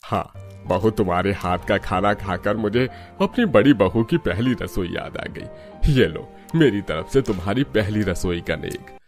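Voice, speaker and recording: A man acts out an older cartoon father-in-law, kindly and a little dry. His voice is very low, with faint music behind it. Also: animated, a little slow. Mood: approving. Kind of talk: scripted cartoon voice acting.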